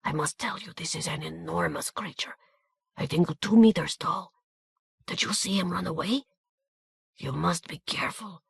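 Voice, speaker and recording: A man's voice: fairly high pitch, lively intonation, moderate pace, vocal fry, husky, dry recording, exaggerated voice. Man plays a talkative, nervous little man with a Hungarian accent; urgent whispers, dry booth. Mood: anxious whisper.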